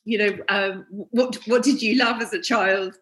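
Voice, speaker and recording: A woman's voice: medium pitch, steady tone, a little slow, a bit bright, roomy sound. A woman hosting an interview podcast, gushing and stammering through repeated words. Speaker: podcast host.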